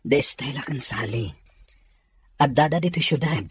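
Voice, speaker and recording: A man plays an earnest, troubled young man in an audio drama. He talks low and fast in a very husky voice, pressing urgently. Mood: uneasy. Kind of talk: Ilocano audio drama acting.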